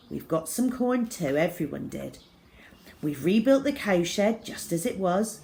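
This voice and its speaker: A woman reads a children's story aloud at a middle pitch. She narrates expressively as the storyteller, leaning into key words with dramatic emphasis and dropping to a hush in places. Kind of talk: expressive first-person children's audiobook narration.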